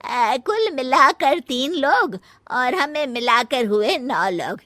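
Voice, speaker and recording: A woman plays a cartoon mother-in-law who runs the household. She speaks slowly in a high, swooping voice, drawing words out as she gives instructions, in a family drama style. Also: animated, sharp tone, no room sound, clean studio sound, full character voice. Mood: instructing. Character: mother-in-law, matriarch giving orders to daughters-in-law.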